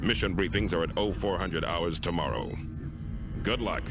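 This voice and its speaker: A man playing a stern, authoritative police squadron commander in a video game, delivering a formal briefing in a deliberate, commanding way. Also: low voice, background noise. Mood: grave, stern. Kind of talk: formal military briefing, game cutscene acting. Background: music.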